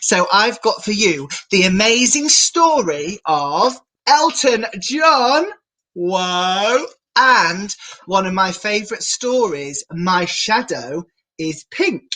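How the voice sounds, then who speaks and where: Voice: medium pitch, very animated, takes it slowly, bright, forceful tone, low-quality recording. A drag queen storyteller presenting a children's storytime in a theatrical way, speaking directly to young listeners and adults.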